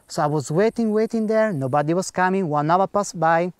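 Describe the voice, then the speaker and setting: Guy telling his faith story in simple second-language english, kinda high voice, earnest, acts out the dialogue, dry close sound. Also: a little fast, clean tone, dry recording. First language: not English. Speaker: speaker giving a personal testimony. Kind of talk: personal faith testimony storytelling.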